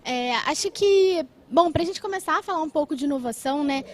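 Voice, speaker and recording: A woman's voice: sits high, expressive, fairly quick. A woman with a Brazilian accent hosting a podcast, talking conversationally and politely as she explains her way into each question. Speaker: podcast host. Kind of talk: conversational interviewing.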